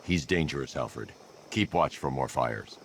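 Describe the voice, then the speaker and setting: An actor playing a grim, commanding vigilante hero in a video game, growling short, stern orders. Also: male voice, expressive, a little slow, a little hiss or hum. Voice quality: deep gravelly growl.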